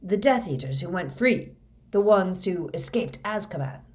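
A woman's voice: fairly low pitch, lively intonation, moderate pace, close to an everyday voice. A woman reading a storybook, voicing a grim, menacing older male wizard teacher who questions insistently with fanatical intensity. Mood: fanatical fury. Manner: quiet insistent questioning rising to fervent intensity.

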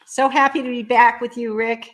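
A woman's voice: fairly high pitch, little pitch movement, normal speed, fairly bright tone. A woman speaking as an entrepreneur guest, explaining her work conversationally in an interview.

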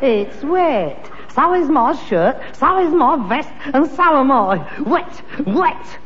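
A man's voice: very high-pitched, big pitch swings, takes it slowly, full character voice. An actor playing a frustrated grown son, working the rag-and-bone trade and ranting at his father in a 1960s radio sitcom. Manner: indignant, theatrical ranting. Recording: dull 1960s radio broadcast with hiss and a roomy reverb.

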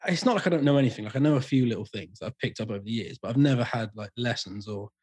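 A man's voice: mid-range voice, very fast, darkish tone, breathy edge. A man who sings in a band, a podcast guest talking candidly in casual chat and swearing freely.

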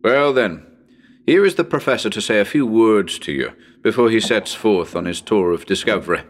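Man as a wry, low-voiced radio ghost-hunt presenter. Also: animated. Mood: genial, wry. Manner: measured, wry, formal. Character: genial, well-spoken male radio presenter hosting a ghost hunt. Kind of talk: dramatic reading as live radio broadcast.

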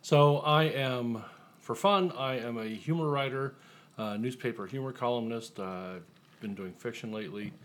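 A man's voice: medium pitch, normal speed. A man from the United States chatting as an author guest, explaining things in a conversational way. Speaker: author guest, humor writer and ghostwriter. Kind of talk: casual interview chat.

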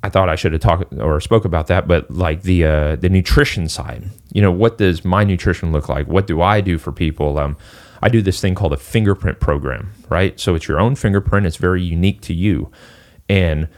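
A man's voice: sits low, brisk, a little mellow. A man from Singapore, a fitness coach guest on a podcast, talking in a loose, rambling way with lots of "you know" and "like.". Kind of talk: casual podcast conversation.

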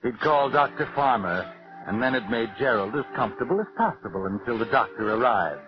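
A man playing a sinister, all-knowing narrator in a measured, theatrical 1940s radio mystery style. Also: mid-range voice, lively intonation, natural voice. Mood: grim storytelling. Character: the Whistler, sinister all-knowing male narrator who walks by night. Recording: dull vintage 1940s radio recording. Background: music.

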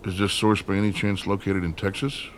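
A man's voice: deep voice, level tone, fairly quick, a little hiss or hum, natural voice. An actor playing a wary male captive in a radio play, asking terse, sceptical questions. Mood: sceptical, probing. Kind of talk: dramatic radio play dialogue.

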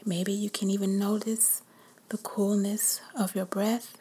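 A woman whispering softly as she leads a guided meditation. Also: medium pitch, little pitch movement, breathy edge. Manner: whispered, slow and soothing.

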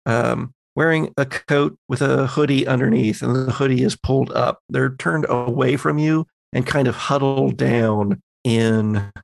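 A man working as game master, narrating a tabletop horror game in halting, suspenseful descriptions. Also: mid-range voice, steady tone, gravelly, no echo.

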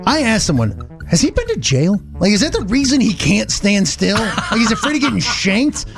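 A man with a high voice and lively, swinging pitch co-hosts a radio show. He is conversational and quick to wisecrack, bantering from the studio. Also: over music. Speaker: morning show co-host.

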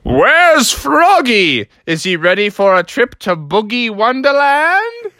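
A man's voice: a little high, big pitch swings, moderate pace, fairly bright tone, no room sound, studio quality, pitched above the performer's own voice. A man voicing a breezy, insistent frog father in a children's story, jovial and emphatic. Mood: cheery.

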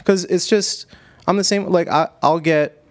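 A man hosting a podcast, chatting casually in an easygoing, halting way. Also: sits high, steady tone, no room sound. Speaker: podcast host, musician.

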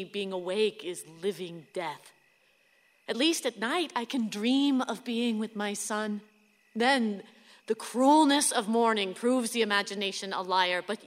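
A woman's voice: fairly high pitch, lightly acted. A woman acting a despairing, tender but bitter grieving mother in an emotional radio drama. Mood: broken, tender. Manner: sweary, intense, wounded delivery. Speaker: actress in a radio play. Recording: long hall reverb, background noise.

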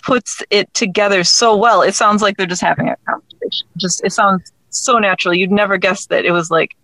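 A woman in a relaxed interview conversation as a creative guest. Her speech is halting as she works out her thoughts aloud, in a mid-range voice with a dry, close sound. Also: mid-range voice, dry recording, low-quality recording. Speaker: guest, audio drama creator.

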